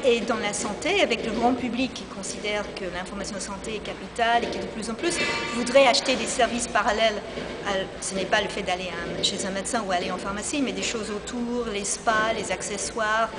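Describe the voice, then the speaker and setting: A woman's voice: mid-range voice, fairly quick, loud music behind the voice. A woman with a slight foreign accent, talking energetically about her field in an improvised interview, with frequent restarts. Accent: slight foreign accent in French. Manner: energetic, enthusiastic, with many restarts. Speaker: interviewee, e-health specialist. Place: busy convention hall. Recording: reverberant hall with loud ambient noise.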